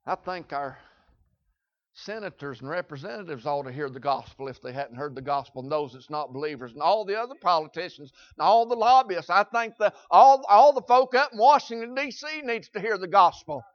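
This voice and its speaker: A man preaching a sermon in a Southern US accent, folksy and repetitive, swinging between loud shouts and quiet asides. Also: sits high, expressive, brisk, no echo, lo-fi sound.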